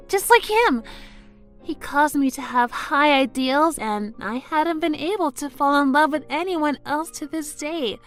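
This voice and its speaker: A lovestruck anime teenage girl speaks in a very high voice at an unhurried pace. She is melodramatic and easily flustered, sighing and tripping into stammers. Soft music plays behind her romcom cartoon lines. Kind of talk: anime-style manga dub voice acting.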